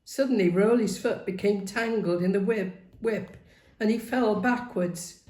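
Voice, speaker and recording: A woman reading a novel aloud as dramatic, expressive narration. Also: mid-range voice, a little slow. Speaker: story reader. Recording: roomy, echoing sound.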